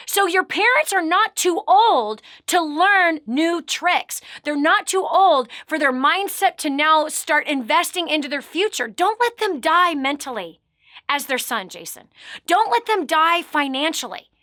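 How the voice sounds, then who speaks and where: High bright emphatic woman host. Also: expressive, unhurried, no room sound, studio quality. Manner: emphatic, preachy, swells louder on key words. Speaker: radio show host. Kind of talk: motivational coaching talk.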